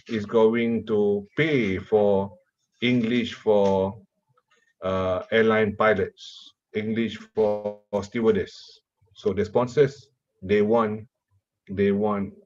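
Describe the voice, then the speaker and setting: A man from Malaysia whose first language is Malay, giving a slow, deliberate university lecture and putting questions to his audience. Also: lowish voice, a little mellow, lo-fi sound. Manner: slow, deliberate, repeats words while asking the audience questions.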